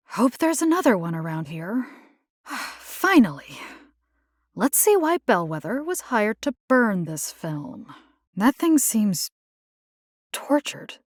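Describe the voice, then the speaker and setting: A woman's voice: mid-range voice, dry recording, studio quality. A woman voicing a plucky, caring video game combat engineer, casual and wry. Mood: curious, wry. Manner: casual, wry, expressive, slipping into Spanish slang. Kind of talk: video game character voice lines.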